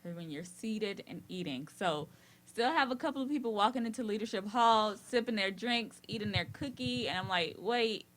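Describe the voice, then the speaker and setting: A woman co-hosting a school's afternoon announcements, chatty and lively, addressing students directly. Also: medium pitch, normal speed, rough recording. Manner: chatty, lively, laughs easily. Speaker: school staff co-host.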